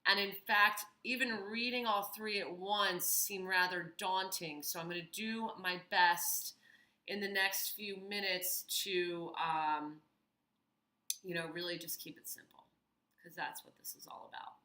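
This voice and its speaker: Bright-voiced woman with a roomy echo. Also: mid-range voice, steady tone. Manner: earnest, thoughtful, with pauses and fillers. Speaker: vlogger. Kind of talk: reflective personal talk to camera.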